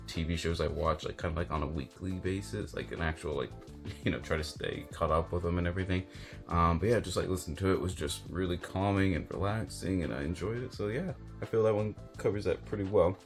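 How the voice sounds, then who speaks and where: A man chatting casually and unscripted as a book reviewer, rambling and hesitant with lots of filler words. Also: low-pitched. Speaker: book reviewer and video host. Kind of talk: casual unscripted chat to camera about books.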